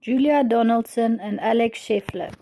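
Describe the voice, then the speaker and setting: A woman's voice: a little high, normal speed, dark tone. A woman reading a children's storybook aloud as its narrator. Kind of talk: scripted read-aloud narration.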